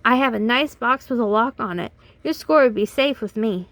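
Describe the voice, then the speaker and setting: Woman doing eager high-voiced Amelia Bedelia. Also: moderate pace, a bit bright, no room sound. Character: cheerful literal-minded grown housekeeper woman. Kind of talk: children's storybook reading.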